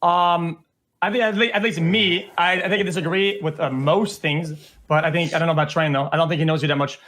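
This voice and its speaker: A man's voice: on the high side, fairly even intonation, brisk, fairly bright tone. A man who talks casually in a livestream debate, stammering and restarting his sentences often.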